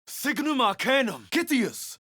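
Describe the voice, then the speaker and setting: A commanding rpg spellcaster chanting spells, super theatrical, slow, voice swings all over. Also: high-pitched, big pitch swings, bright, forceful tone, exaggerated voice. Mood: forceful, commanding. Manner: theatrical, deliberate, incantatory. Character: commanding adult fantasy spellcaster intoning incantations. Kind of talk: video game voice acting, declaimed spell incantations.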